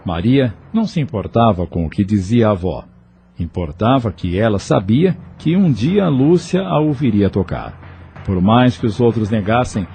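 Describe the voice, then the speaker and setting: A man's voice: lowish voice, mellow, a little hiss or hum. A man with a Brazilian accent giving scripted narration for an audio novel.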